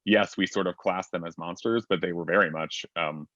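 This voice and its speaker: A man moderating an online fan convention panel over a video call, agreeable and full of fillers and quick yeps. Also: deep voice, fairly even intonation, fairly bright tone, no room sound. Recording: slightly dull, dry online call audio.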